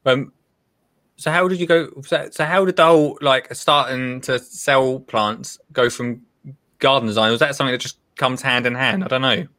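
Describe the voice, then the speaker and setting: 30s guy hosting a podcast, bright voice, kinda stumbles thru his questions. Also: medium pitch, steady tone. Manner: friendly, loose, halting questions. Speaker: podcast host. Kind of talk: casual interview chat.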